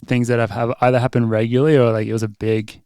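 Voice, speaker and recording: A man's voice: mid-range voice, little pitch movement, fast, darkish tone, clear, resonant tone, no room sound. A young man hosting a casual podcast. His voice is dry and close to the mic. He leads the chat loosely, rambles and laughs between thoughts. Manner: laughing, leading the segment, rambling. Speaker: podcast co-host.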